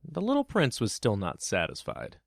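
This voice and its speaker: A man's voice: mid-range voice, huge pitch swings, normal speed, creaky, no room sound, studio quality. A man reading scripted storybook narration as the narrator of a children's tale. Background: plucked guitar music.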